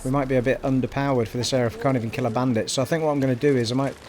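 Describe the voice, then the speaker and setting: A middle-aged man from Scotland with a generic English accent, chatting and thinking out loud as he streams a game. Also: mid-range voice, fairly even intonation, very fast, a little hiss or hum. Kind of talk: live gameplay commentary. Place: home streaming room.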